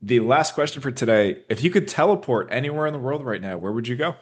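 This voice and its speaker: A man's voice: medium pitch, expressive, fast. A man hosting a podcast, asking questions in a chatty, easygoing interview manner. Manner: chatty, laughs easily. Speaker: podcast host. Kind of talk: casual interview chat.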